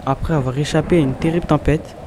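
A man's voice: a little high, fairly even intonation, quick pace, darkish tone, a little hiss or hum. A man reading scripted storytelling narration for an audio drama.

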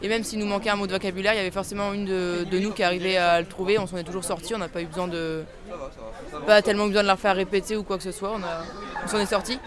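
A teenage girl, a student, answering a question hesitantly and softly outdoors on a ferry. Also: medium pitch, fairly even intonation, rapid-fire, crowd noise. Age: teens.

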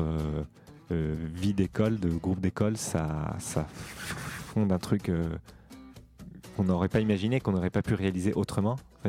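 A young man with a very low, mellow voice gives a reflective testimony. He speaks haltingly, feeling his way through each thought, with faint music behind him. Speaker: theatre school student in an occupation. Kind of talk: spontaneous documentary testimony.

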